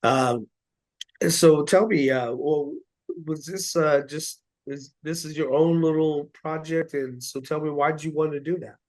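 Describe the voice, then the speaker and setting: A male podcast host with a raspy voice, hoarse from the flu, chatting in a friendly, joking way and asking halting questions over a video call. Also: a little high, fairly even intonation, darkish tone, no echo, poor audio. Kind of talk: casual interview chat.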